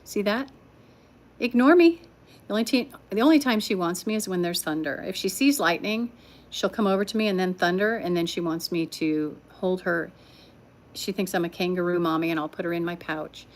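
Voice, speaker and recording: A woman's voice: on the low side, normal speed. An older woman telling stories at home on a livestream, chatting with viewers and laughing often. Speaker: livestream storyteller. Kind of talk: casual livestream chat. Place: home living room.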